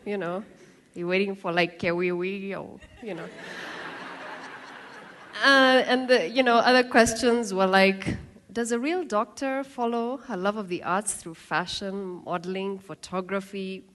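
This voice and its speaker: A woman in her thirties from Kenya with a Kenyan accent, telling her life story in a lively talk with asides and acted-out quotes. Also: medium pitch, a little slow. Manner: lively, laughing, acts out quoted voices. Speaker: speaker giving a talk.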